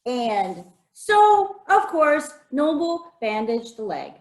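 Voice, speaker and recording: Animated high-voiced woman telling children a story. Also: slow pace. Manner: interactive, asking listeners questions and inviting repetition. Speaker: author performing a folktale read-aloud. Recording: roomy, echoing sound.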